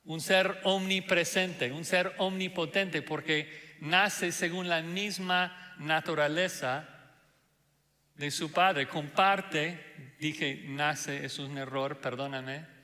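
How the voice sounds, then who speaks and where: A man preaching a sermon as pastor, speaking deliberately with frequent "ah" fillers; Spanish is not his first language. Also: a little high, steady tone, pushed and bright. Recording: long hall reverb.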